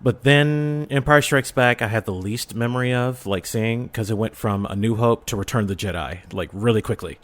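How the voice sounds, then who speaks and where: A man's voice: medium pitch, normal speed, hardly any pauses, fairly bright tone. A middle-aged man chatting casually as a podcast guest and telling personal stories with enthusiasm. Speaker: podcast guest, audio drama creator. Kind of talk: casual chat, personal storytelling.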